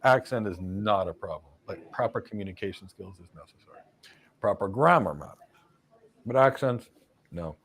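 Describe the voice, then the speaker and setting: A man's voice: on the low side, expressive, moderate pace, long pauses, a bit bright, husky. An American man hosting a live career Q&A as a cloud career coach, answering viewer questions emphatically with blunt one-word verdicts. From United States.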